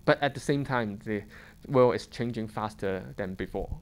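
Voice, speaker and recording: A man in his thirties from Hong Kong with a Hong Kong accent, giving an informal seminar talk as a fund manager, halting and restarting often with small chuckles. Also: on the low side, clean tone. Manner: halting, many restarts and chuckles, plain conversational English. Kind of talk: informal lecture to students. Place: lecture room.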